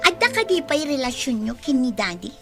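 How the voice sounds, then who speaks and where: An actress playing a worried, sensible young daughter in an Ilocano radio drama. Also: female voice, high-pitched, very animated, brisk, music in the background. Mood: worried, questioning.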